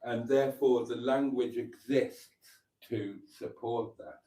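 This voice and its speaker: A man's voice: medium pitch, steady tone, slow pace, mellow, low-quality recording. A Welsh man, a native Welsh speaker, hosting a live stream and musing in a meandering way, with many fillers and restarts. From Wales. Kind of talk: casual live stream chat.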